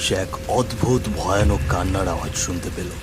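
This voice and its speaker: A man's voice: sits low, fairly quick. A man narrating a scripted horror cartoon story dramatically, with music, rain and thunder behind him.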